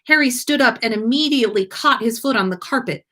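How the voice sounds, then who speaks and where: A woman reading a story aloud in an expressive, scripted storytelling style. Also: a little high, a little fast. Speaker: fan fiction reader on a podcast livestream.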